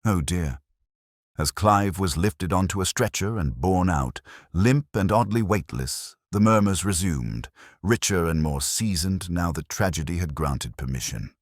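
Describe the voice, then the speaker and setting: A man narrating a scripted British village mystery in a dry, measured, literary way. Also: low voice, animated, no room sound.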